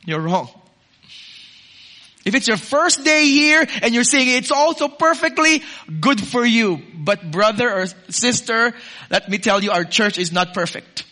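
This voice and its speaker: A man preaching a sermon as pastor, moving from loud urging to soft, close asides. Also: very high voice, expressive, measured pace.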